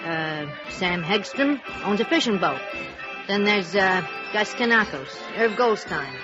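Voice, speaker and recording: A woman's voice: mid-range voice, expressive, unhurried, close to an everyday voice. An actress playing a tired, wisecracking female arcade cashier in a 1950s radio noir drama, streetwise and guarded. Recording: dull vintage radio recording with music.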